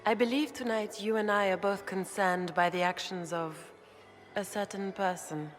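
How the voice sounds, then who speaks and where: A woman's voice: mid-range voice, steady tone, moderate pace, close to an everyday voice. A woman playing a poised, scheming grand duchess in a fantasy video game, with a formal, insinuating manner. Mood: gracious, conspiratorial. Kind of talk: dramatic video game dialogue. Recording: hall reverb with faint music.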